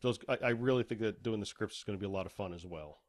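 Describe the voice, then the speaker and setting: A man's voice: on the low side, rapid-fire. A man chatting casually as an interview guest, enthusiastic and wordy about his work.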